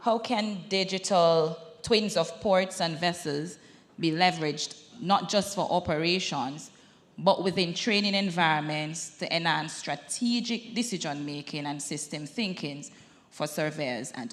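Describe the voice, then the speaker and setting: A woman's voice: deep voice, measured pace. A woman in the audience asking a panelist a polite, formal question. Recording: reverberant conference hall.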